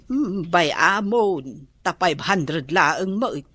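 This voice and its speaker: A generous village mother in a radio play who cannot say no to her neighbors. She speaks slowly and hesitantly, with a mid-pitched voice that lifts and falls a lot, and is heard close and dry. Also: lively intonation, breathy edge, dry recording, exaggerated voice. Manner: soft-spoken, hesitant and drawn-out. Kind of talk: radio drama acting.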